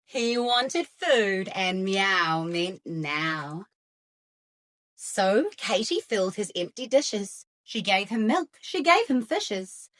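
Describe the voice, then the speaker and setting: Female children's storyteller: bright, very animated, unhurried, rhythmic. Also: mid-range voice. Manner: expressive, deliberate rhythmic phrasing. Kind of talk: children's picture-book read-aloud.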